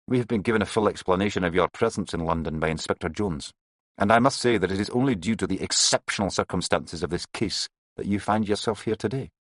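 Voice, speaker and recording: A man voicing a stern male police inspector with a Scottish accent, speaking in a grave, measured way in a storybook reading. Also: low voice, no room sound, voice pushed up.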